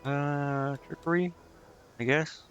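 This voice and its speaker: A man's voice: fairly high pitch, fairly even intonation, slow pace, a bit bright, a little hiss or hum. A man thinking aloud in casual, indecisive gameplay commentary, muttering to himself as he streams. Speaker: game streamer.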